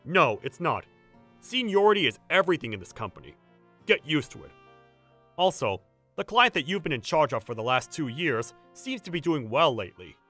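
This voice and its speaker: A man's voice: a little high, big pitch swings, moderate pace, sharp tone, soft background music, exaggerated voice. A man voicing a domineering, smug senior colleague in a manga dub, condescending and threatening in his way of talking. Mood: dismissive.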